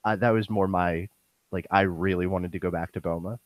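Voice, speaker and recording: Hoarse young man, nasal and low. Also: fairly even intonation, brisk, slight background noise. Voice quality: nasal, bassy. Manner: rambling, many "like" fillers. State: hoarse, voice going. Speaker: podcast host. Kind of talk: casual podcast chat.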